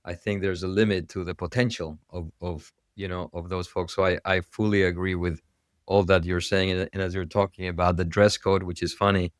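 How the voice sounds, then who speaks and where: Low-voiced man hosting, dry sound. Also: little pitch movement, fairly quick, dry recording. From Ecuador. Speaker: podcast host. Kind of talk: casual interview hosting.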